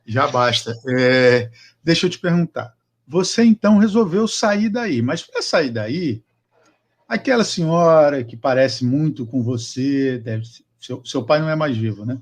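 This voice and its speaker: A man's voice: mid-range voice, animated, measured pace. A man hosting a casual podcast interview, asking long, rambling questions with many restarts. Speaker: podcast host.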